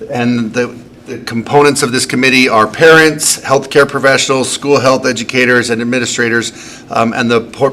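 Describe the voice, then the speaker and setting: A man presenting a request to a school board, speaking haltingly with many ums and restarts. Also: medium pitch, a bit bright, slight background noise. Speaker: district sex education coordinator. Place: school board meeting room.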